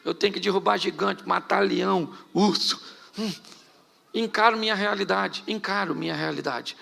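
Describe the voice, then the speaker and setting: Brasileiro de meia-idade, pastor bem-humorado, timbre áspero e brilhante, sotaque do Rio; sermão com plateia. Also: male voice, high voice, moderate pace, vocal fry. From Brazil. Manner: storytelling, jokes, rhetorical questions and shouted calls. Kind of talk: humorous sermon to a congregation. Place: church hall with congregation.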